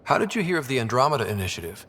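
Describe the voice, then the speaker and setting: An actor playing a young human expedition leader in a video game, curious and dryly teasing, with a husky, conversational voice. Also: male voice, mid-range voice, sing-song, rapid-fire, fairly bright tone, a little hiss or hum. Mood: inquisitive. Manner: conversational, inquisitive, dry. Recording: long reverb.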